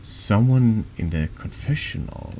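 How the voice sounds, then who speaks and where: A man with a deep voice reading horror narration slowly and haltingly in an ASMR style, over background noise. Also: animated, dark tone. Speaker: vtuber reading a text game aloud.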